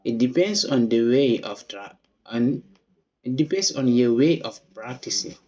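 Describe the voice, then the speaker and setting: A man teaches English, reading each simple dialogue line twice. His pace is very slow and deliberate. The recording sounds dull and lo-fi. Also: mid-range voice, expressive, mellow. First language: Wolof. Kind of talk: slow read-along English lesson.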